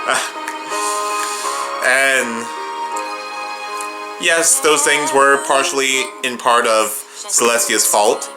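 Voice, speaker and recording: A man's voice: mid-range voice, fairly even intonation, slow pace, pauses often. A man doing live reaction commentary as a video host, exclaiming and talking back to the show he follows. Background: drama audio and music playing behind.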